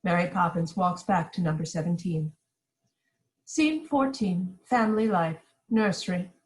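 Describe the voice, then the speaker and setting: A woman's voice: fairly low pitch, a little slow. Woman narrating stage directions. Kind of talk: scripted narration in amateur read-through.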